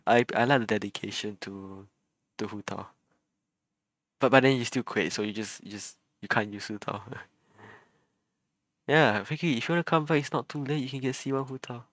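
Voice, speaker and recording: A man's voice: medium pitch, normal speed, fairly bright tone, no room sound. A man livestreaming a game, chatting casually with viewers, chuckling often and stammering over repeated words. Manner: chuckles often between remarks.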